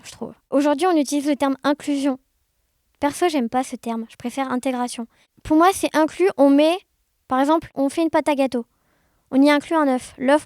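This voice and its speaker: Female podcast guest, high voice, dry close sound, conversational and enthusiastic delivery. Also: no room sound. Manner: enthusiastic, expressive. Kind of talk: casual conversation.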